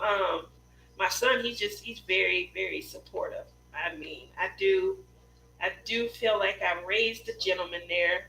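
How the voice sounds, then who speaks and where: A woman's voice: medium pitch, creaky, pushed and bright, a little hiss or hum, low-quality recording. A middle-aged woman chatting casually with live viewers as host of a craft stream, telling personal stories in a rambling way.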